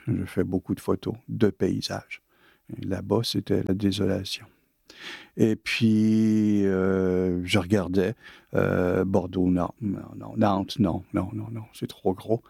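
A man in his late sixties from Quebec, with a Québécois French accent, telling his own life story casually with many pauses and fillers. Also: low-pitched, vocal fry, darkish tone, husky, no room sound, studio quality. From Quebec, Canada. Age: 60s. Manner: hesitant, many fillers and restarts. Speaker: interviewee.

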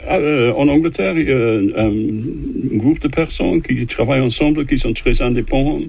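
Older guy, husky voice, dry close sound, lots of euhs and restarts. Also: mid-range voice, darkish tone, no room sound. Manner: halting, many fillers and restarts. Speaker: composer guest. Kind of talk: interview answers in a second language.

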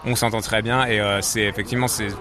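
A man's voice: fairly low pitch, flat delivery, fast, music in the background. Frenchman chatting casually about himself. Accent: French from France. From France. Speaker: interview guest, office professional working from home. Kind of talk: casual conversational interview answers.

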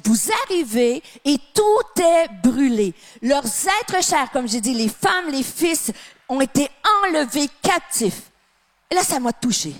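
A Canadian woman with a Québécois accent preaching a sermon to a congregation, stressing phrases emphatically and then dropping to a soft, quiet tone. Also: sits high. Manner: emphatic, drawn-out phrases with sudden drops to a soft tone. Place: church with live and online audience.

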